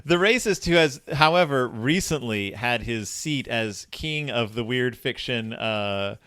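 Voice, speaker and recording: A man co-hosting a casual book discussion podcast, talking in a halting, rambling way that often interrupts itself. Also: medium pitch, lively intonation, fairly bright tone, clear, resonant tone, no echo, clean studio sound. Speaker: podcast co-host.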